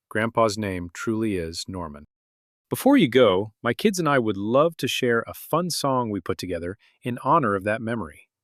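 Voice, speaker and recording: Lowish-voiced man in a dry room. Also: a bit bright, dry recording. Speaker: channel creator and host. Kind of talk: friendly scripted sign-off to listeners.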